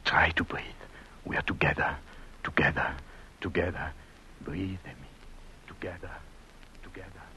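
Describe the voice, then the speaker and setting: An actor playing an anguished, devoted immigrant husband in an old radio drama, speaking in a halting, brooding, intense way. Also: male voice, a little high, a bit bright, slight background noise, poor audio. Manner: halting, brooding, intense delivery with repeated words. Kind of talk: old dramatic radio-play acting with first-person narration.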